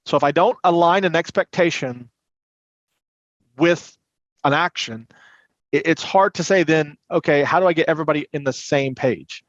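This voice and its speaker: A man's voice: fairly high pitch, moderate pace, long pauses. A man talking as an expert interview guest, explaining things earnestly in a conversational tone on muffled, roomy audio. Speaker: podcast guest, credit union compliance and recovery manager.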